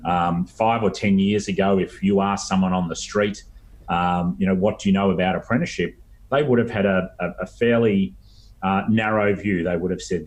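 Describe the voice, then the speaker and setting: Low-pitched, halting Australian man. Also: a little hiss or hum. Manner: halting, hesitant phrasing with frequent ums. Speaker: interview guest, apprenticeship expert. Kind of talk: explanatory interview answers.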